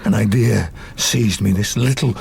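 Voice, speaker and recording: A lonely, embittered creature of gothic radio drama. His speech is slow and creaky, heavy with anguish and vengeance. Faint noise sits behind the voice. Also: on the low side, a little mellow. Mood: haunted recollection. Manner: slow, grave, anguished storytelling. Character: wretched, vengeful male man-made monster, lonely and bitter. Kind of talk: gothic audio drama acting.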